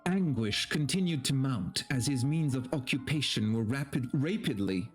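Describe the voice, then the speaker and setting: A man's voice: mid-range voice, lively intonation, moderate pace, faint music behind. A man streaming a dramatic story reading, with theatrical narration and casual, mock-exasperated asides.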